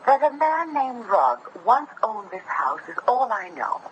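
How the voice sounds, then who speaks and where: An actress playing a polite, hospitable colonial householder in a 1970s radio drama, speaking formally. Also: female voice, fairly high pitch, sing-song, a little slow, dull audio. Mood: gracious. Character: courteous, hospitable colonial Boston householder woman. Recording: noisy vintage radio broadcast.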